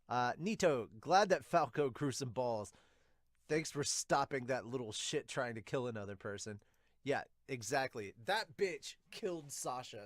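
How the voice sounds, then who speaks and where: A man's voice: mid-range voice, dry recording, clean studio sound. A man hosting a casual manga review podcast, speaking bluntly with some mild swearing and reading comments aloud. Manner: blunt, mildly profane.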